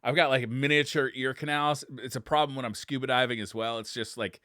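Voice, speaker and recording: A man co-hosting a podcast in casual chat, joking and swearing freely in an animated way. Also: mid-range voice, a little fast, clear, resonant tone, no echo, studio quality. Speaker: podcast co-host.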